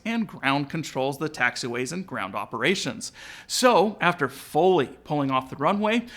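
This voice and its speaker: Higher-voiced man explaining things. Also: fairly bright tone. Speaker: pilot and flight instructor presenter. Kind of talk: instructional narration.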